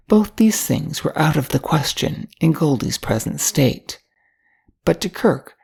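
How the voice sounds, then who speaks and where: A woman's voice: low-pitched, creaky, mellow, breathy edge. An older woman narrating a short story from a script as an audiobook reader.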